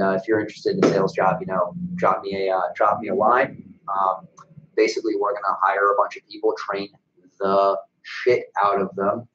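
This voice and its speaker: Low-voiced young American man in an echoey room. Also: fairly bright tone. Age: young adult. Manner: blunt, casually profane. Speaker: webcast co-host and business owner. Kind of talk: casual business chat. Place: small bright office, indoors. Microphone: desk microphone on a stand. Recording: echoey room, dull sound.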